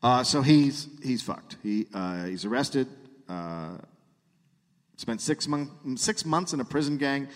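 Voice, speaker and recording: Animated man telling stories in a reverberant hall. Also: mid-range voice, slow. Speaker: podcast host and comedian. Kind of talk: live comedy podcast storytelling. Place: live show in a hall.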